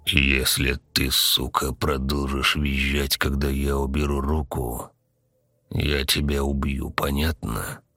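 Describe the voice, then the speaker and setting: A man voicing a sinister undead train conductor in a horror story, drawling with falsely sweet, ironic, calm menace. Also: rumbling low, takes it slowly, no room sound, heavily acted.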